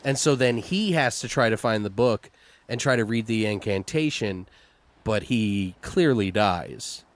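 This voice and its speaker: Casual foul-mouthed man brainstorming out loud. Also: on the low side, lively intonation, measured pace. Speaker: co-host brainstorming a horror audio drama. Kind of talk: casual creative brainstorming chat.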